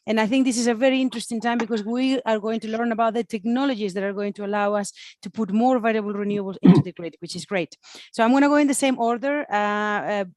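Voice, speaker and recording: A woman's voice: on the high side, fast, a little mellow, no room sound. A woman moderating an online event in an informal, chatty, encouraging way. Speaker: webinar moderator. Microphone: computer mic on video call.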